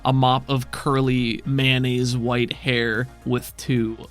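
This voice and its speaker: A man narrating as game master, asking the players questions and tossing in joking asides in a relaxed way. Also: medium pitch, slow pace, soft background music.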